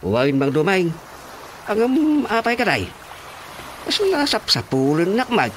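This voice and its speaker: Casting: amiable provincial man, Ilocano accent, very animated delivery, noisy ambience behind, radio drama. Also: high voice, measured pace, exaggerated voice. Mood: friendly. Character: friendly provincial man back from Manila.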